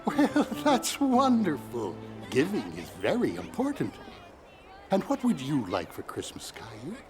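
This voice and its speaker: Kindly old Christmas gift-giver, jovial and reassuring, hugely animated pitch, children's cartoon. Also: male voice, measured pace, over music. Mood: jolly, kindly.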